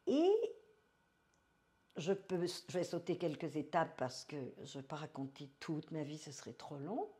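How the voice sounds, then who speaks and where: A woman in her seventies from France, telling her life story as an interview guest, slow and thoughtful, slipping in English phrases. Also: deep voice, animated, warm tone.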